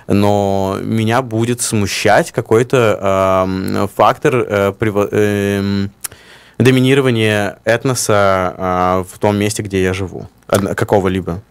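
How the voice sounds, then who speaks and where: A man co-hosting a radio talk show, speaking in a measured, deliberating way and pausing to find his words. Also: fairly low pitch, steady tone, smooth, no echo. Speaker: radio co-host. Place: radio studio. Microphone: studio microphone.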